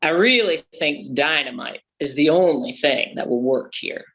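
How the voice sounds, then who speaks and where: A gruff, stubborn boat captain acted out at a table read, with a bright, forceful voice in a middling register. The delivery is folksy, commanding and thick with sarcasm. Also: medium pitch, expressive, normal speed. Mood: scornful. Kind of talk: amateur script table read.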